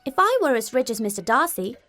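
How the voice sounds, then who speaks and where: A woman's voice: fairly high pitch, very animated, fast, a bit bright, soft background music. A woman voicing a brash, boastful young boy in an audiobook, with a bold manner. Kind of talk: audiobook character voice.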